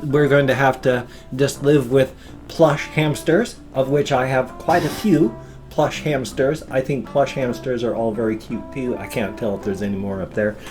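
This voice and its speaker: An older man talks in a folksy, meandering way, his voice nasal and congested with a faint wheeze. He chats casually at a home desk mic, and quiet guitar music plays softly behind him. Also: mid-range voice, steady tone, normal speed. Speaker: vlog host. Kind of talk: rambling casual morning vlog. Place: small home room at a desk.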